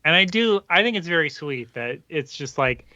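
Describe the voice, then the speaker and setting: A man co-hosting a casual comic book podcast, chatty and quick to laugh as he explains his take. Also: on the high side, animated, sharp tone, lo-fi sound, a little hiss or hum. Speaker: podcast co-host.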